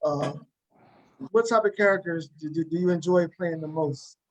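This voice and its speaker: A man hosting a casual cast interview, halting and repeating words as he forms his questions. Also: fairly high pitch, lo-fi sound. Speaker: talk show host and cast member.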